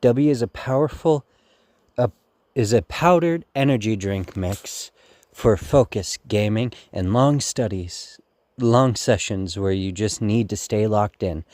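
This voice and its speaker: A man's voice: on the low side, a little slow, dry recording. Man reading a sponsor spot. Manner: even, slightly stumbling read. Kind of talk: read sponsor ad.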